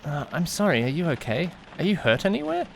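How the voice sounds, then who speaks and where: A man playing an anxious young student driver, narrating an eerie audiodrama in an expressive, brooding way with hesitant speech. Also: on the high side, fairly quick, vocal fry, a little hiss or hum, heavily acted. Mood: hesitant, concerned. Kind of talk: first-person dramatic narration, visual novel audiodrama.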